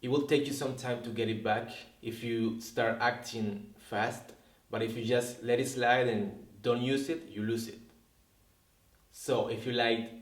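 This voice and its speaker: A man whose first language is Spanish, a language teacher hosting a reflective talk to camera, earnest and often repeating words as he searches for them. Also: mid-range voice. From Latin America. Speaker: language teacher and video host. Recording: roomy, distant sound.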